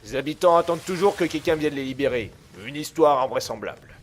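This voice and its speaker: An actor playing an authoritative, sceptical ship's captain, speaking firmly in an audio drama. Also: male voice, fairly high pitch, quick pace, slight background noise, close to an everyday voice. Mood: dismissive. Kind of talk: French audio drama acting.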